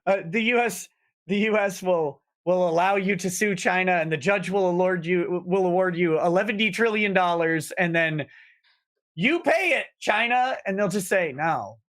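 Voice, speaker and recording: A man co-hosting a casual livestream chat, explaining points in a measured way with quick restarts. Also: high-pitched, a bit bright. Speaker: co-host.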